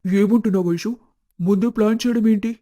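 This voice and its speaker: A man voicing an earnest young suitor with a dramatic way of speaking in an animated moral story. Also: high-pitched, a little fast, dry recording, clean studio sound, natural voice. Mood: earnest concern. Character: young suitor, earnest and devoted, later stern.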